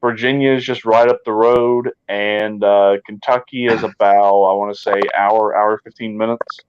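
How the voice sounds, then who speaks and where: A man's voice: lowish voice, steady tone, normal speed, dull audio, noisy background. A man, hosting a casual chat and talking in an easygoing, hesitant way. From Tennessee. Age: 20s.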